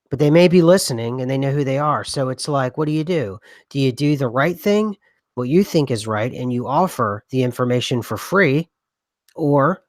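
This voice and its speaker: A man's voice: mid-range voice, no room sound. Rambling man with a dry close mic. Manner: rambling, many restarts and fillers. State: coughing. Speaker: podcast co-host. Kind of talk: casual conspiracy podcast chat.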